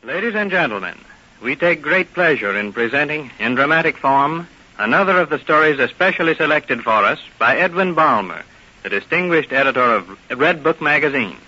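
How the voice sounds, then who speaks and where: Male announcer, old-time radio. Very sharp, forceful tone, formal scripted delivery, dull archival sound. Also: a little high, animated. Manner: formal, measured.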